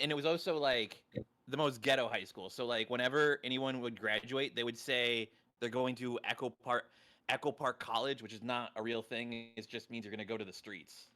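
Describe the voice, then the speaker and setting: A man's voice: medium pitch, bright, forceful tone. A man from Los Angeles joining a stream over a call, rambling through a story with laughs. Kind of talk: casual chat over a call.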